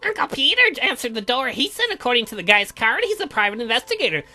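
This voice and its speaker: Woman playing perky, high-voiced girl cousin. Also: sing-song, fast talker, bright, forceful tone, faint music behind, exaggerated voice. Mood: urgent, chatty. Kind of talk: performed visual novel reading.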